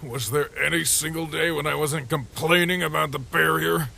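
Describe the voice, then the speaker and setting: A man voicing a brash, sarcastic younger skeleton brother with a husky voice in a comic dub, cocky and grumbling. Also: a little high, steady tone, moderate pace, sharp tone, heavily acted. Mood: grumbling, teasing.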